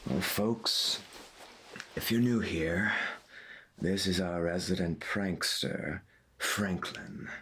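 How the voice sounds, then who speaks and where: A man playing a folksy, gentle veteran pirate radio host, speaking softly and slowly with a breathy voice in an ASMR roleplay. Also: deep voice, slight background noise. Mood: wryly patient. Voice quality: breathy, husky. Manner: slow, deliberate, folksy, half-whispered. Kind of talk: soft-spoken ASMR roleplay as 1990s AM radio.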